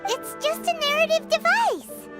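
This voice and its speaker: A woman voicing a tiny childlike fairy companion in a video game, squeaky, chirpy and eager to help. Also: lively intonation, unhurried, loud music behind the voice. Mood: reassuring. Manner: squeaky, chirpy, speaks of herself in third person.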